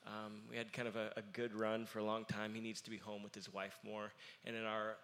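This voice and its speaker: A young man in a family band, speaking earnestly at a panel talk with many pauses and fillers. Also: fairly low pitch, monotone, a bit bright.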